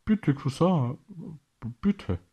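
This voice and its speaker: A man's voice: fairly high pitch, lively intonation, slow, husky, dull audio, pitched above the performer's own voice, full character voice. A man voicing a frightened king who stammers and pleads, in a children's pirate story. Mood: fearful, pleading.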